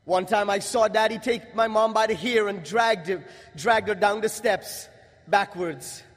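A young man from Guyana giving a religious testimony to an audience, in a measured, emphatic delivery with pauses. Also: high voice, a bit bright. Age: young adult. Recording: long hall reverb.

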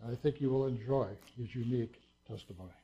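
A man's voice: medium pitch, fairly quick, very noisy. A man presenting at a church gathering, earnestly reading a scripture verse aloud and introducing a video. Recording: dull lo-fi sound.

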